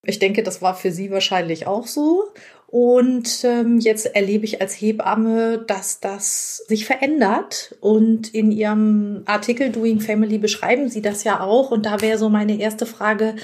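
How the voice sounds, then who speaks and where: A woman's voice: mid-range voice, unhurried, a little mellow. A woman in her fifties, a midwife hosting an interview and asking questions in a searching, conversational way. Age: 50s. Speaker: midwife and podcast host.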